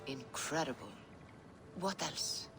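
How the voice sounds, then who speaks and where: A calm Norse seeress in a video game drama. She speaks as a wise spiritual guide, measured and formal, gently probing. Clear music sits behind her voice. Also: sits low, full character voice. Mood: intrigued. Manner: measured, formal, attentive questioning.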